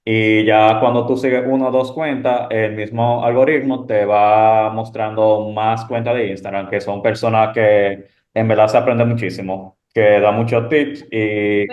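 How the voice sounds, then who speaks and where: A man's voice: fairly low pitch, steady tone, normal speed, roomy sound, dull audio. A young man from the Dominican Republic with a Dominican accent, giving upbeat, encouraging advice in a casual panel chat. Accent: Dominican Spanish. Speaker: incoming medical resident.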